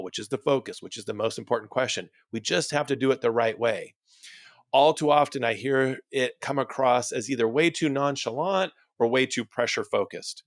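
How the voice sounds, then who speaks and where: A man's voice: mid-range voice, dry recording, studio quality. A man giving a motivational coaching talk as host, instructing with emphasis and rhetorical questions. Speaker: dental practice coach and host.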